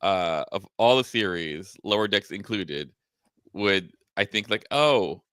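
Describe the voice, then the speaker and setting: A man's voice: sits low, animated, slow pace, fairly bright tone, smooth, no echo. A man co-hosting a podcast with casual, teasing banter and frequent interjections. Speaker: podcast co-host.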